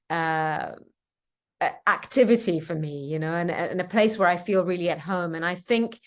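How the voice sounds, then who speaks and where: A woman whose first language is Italian, a film director answering interview questions thoughtfully, with frequent fillers and restarts. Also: on the low side. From Ticino, Switzerland.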